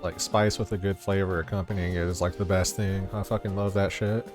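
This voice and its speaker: A man with a low voice streams a game, commenting in a casual, slangy and profane way. Game music is clearly audible behind him. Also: little pitch movement, brisk.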